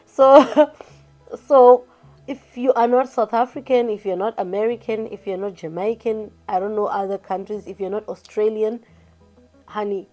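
A woman's voice: medium pitch, normal speed. Young woman, casual to-camera vlogger, chatty and gesturing, restarts often, faint music under the voice, close camera mic. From Zimbabwe. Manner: chatty, animated hand gestures, frequent restarts and "you get what I mean". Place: small room indoors. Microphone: camera in front at close range.

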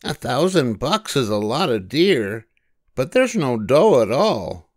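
An older man voicing a cheerful, literal-minded young maid in a high, earnest sing-song. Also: big pitch swings, slow, dry recording, studio quality.

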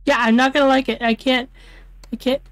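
Woman game streamer, fairly high voice. Casual, wary horror-game commentary, muttered to herself in short bursts. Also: fairly even intonation, fairly quick, fairly bright tone, dry recording.

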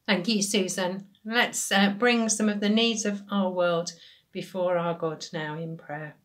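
A woman's voice: fairly low pitch, steady tone, a little slow. Older woman leading worship. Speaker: church service leader. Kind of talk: measured devotional address and prayer. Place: small indoor room at home.

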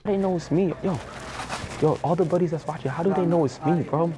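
A man's voice: fairly high pitch, crowd noise. A young man in his twenties with a Haitian accent, playfully talking his way through a prank in a liquor store and parking lot. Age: 20s. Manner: fast, playful, mock-innocent. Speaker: prank content creator. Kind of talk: casual vlog talk to camera. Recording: noisy store and street ambience.

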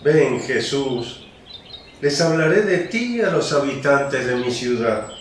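Man acting a slow, humble old father. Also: medium pitch, expressive. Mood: reverent, grateful. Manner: reverent, emotional, slow. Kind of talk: Spanish-language radio play acting. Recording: roomy echo, faint birdsong noise.

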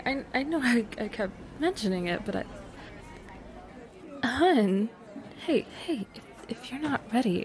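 A woman's voice: on the high side, darkish tone. A woman playing an affectionate, teasing young girlfriend on a secret cafe date in an intimate roleplay audio, speaking casually with hesitant restarts. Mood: concerned, supportive.

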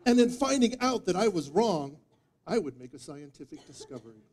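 A man in his fifties giving a humorous storytelling speech, with theatrical deadpan delivery and dramatic pauses. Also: high voice, slight background noise. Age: 50s. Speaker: speech contest speaker. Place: meeting room before a live audience, indoors. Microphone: distant audience camera microphone.

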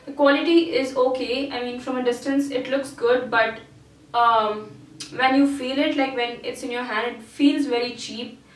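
A woman's voice: high-pitched, fairly even intonation, moderate pace, slight background noise. A woman in her twenties with a casual, chatty way of talking, full of ums and restarts, reviewing her purchases to camera indoors. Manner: chatty, hesitant, lots of um and I mean. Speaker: beauty vlogger. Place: small indoor room. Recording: roomy echo, mic at a distance.

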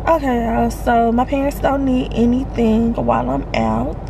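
A woman's voice: fairly high pitch, fairly even intonation, creaky. Chatty young woman vlogging from her car. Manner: chatty, rambling, quick to laugh and complain. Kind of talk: casual chat while driving. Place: inside a parked and moving car. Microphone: phone camera on the dashboard. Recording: loud car and street noise.

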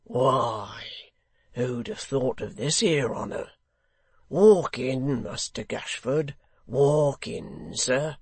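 Man voicing a hoarse, coarse old-time hangman, slow and sly. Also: mid-range voice, animated, no room sound, heavily acted. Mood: surprised, welcoming. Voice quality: hoarse, growling. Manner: cockney-style dropped grammar, chuckling familiarity. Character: coarse, sly, eager middle-aged male hangman.

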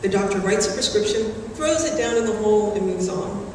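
A middle-aged woman delivering a prepared graduation speech in a measured, earnest way at a podium microphone in a large hall. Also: medium pitch, lively intonation. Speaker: graduating student class speaker. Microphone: podium microphone, recorded from the audience. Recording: very echoey hall, distant, background noise.

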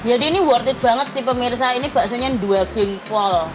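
A woman's voice: a little high, a little fast, rough recording. A young woman hosting a food show, chatting with enthusiasm as she tastes dishes and talks to viewers. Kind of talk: casual food review chat. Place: open-sided restaurant hut. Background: background music.

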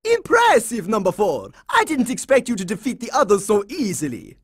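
A man's voice: high voice, wildly swooping pitch, moderate pace, husky, no echo, clean studio sound, heavily acted. A man voicing a smug boy vampire leader in a cartoon video game, with formal, taunting, theatrical delivery.

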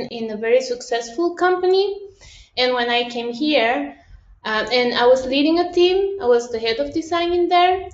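A woman in her thirties from Costa Rica with a Spanish accent, sharing her experience in a video call, hesitant with many restarts and fillers. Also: fairly high pitch. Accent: Costa Rican Spanish. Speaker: participant in online session. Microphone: laptop video call. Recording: roomy, dull video-call audio.

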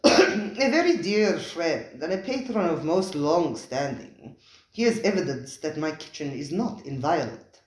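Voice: mid-range voice, very animated, a little slow. A reader voicing Spiro, a vehement, theatrical male restaurant owner who talks in a grandly emphatic way and tags his questions with "eh?". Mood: grand, insistent. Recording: roomy, echoey recording.

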